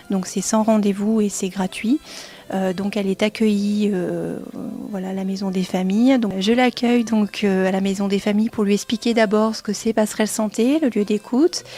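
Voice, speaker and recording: A woman's voice: medium pitch, mellow. A woman explaining services as a guest in an informal radio interview, recorded in a small kitchen. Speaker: director of a family support centre, interview guest. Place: small kitchen of a community family centre.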